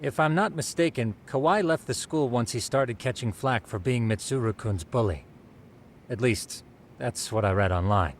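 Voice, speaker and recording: A man's voice: medium pitch, normal speed, slight background noise, lightly acted. An actor playing a polite, knowledgeable male investigator in a video game, talking formally and explaining details.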